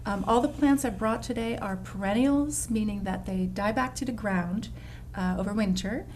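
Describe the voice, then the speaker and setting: A woman's voice: mid-range voice, moderate pace, a little hiss or hum. A woman from the Netherlands, a landscape designer, talking in a casual interview with lots of fillers and restarts. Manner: warm, enthusiastic, many fillers and restarts.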